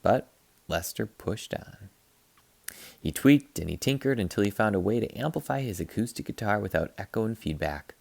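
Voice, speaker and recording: A man reading a children's picture book aloud like a teacher, in an expressive, playful way. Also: on the low side, moderate pace, gravelly, dry recording. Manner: expressive, playful, acts out sound effects.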